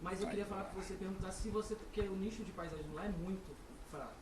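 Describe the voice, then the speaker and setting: A man from Salvador asking a question from the audience, halting and searching for words. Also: high-pitched, roomy sound. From Salvador, Brazil. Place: auditorium audience. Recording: far-off noisy room mic.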